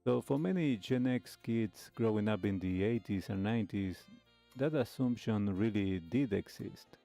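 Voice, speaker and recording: A man with a low, dark and mellow voice speaks as a talk show host. He explains things in a measured commentary style, with music playing behind him. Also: gravelly. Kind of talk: reaction commentary.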